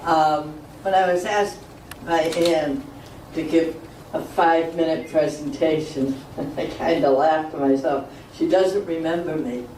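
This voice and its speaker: A woman's voice: low-pitched, steady tone, unhurried. Older woman reminiscing in a roomy hall. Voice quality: loud voice. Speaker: speaker sharing memories. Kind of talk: oral history reminiscence. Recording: roomy hall from far off, faint noise.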